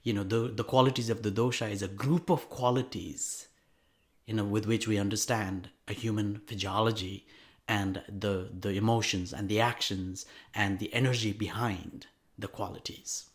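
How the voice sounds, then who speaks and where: A man speaking as a guest expert, explaining things conversationally in a halting way with repeated words. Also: fairly low pitch, fairly even intonation. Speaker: guest expert, Ayurvedic doctor.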